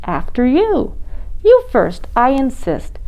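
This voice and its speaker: A woman's voice: sits high, huge pitch swings, slow, warm tone, heavily acted, rough recording. A woman voicing prim, fussy penguins in a storybook, courteous and very proper. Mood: prim courtesy.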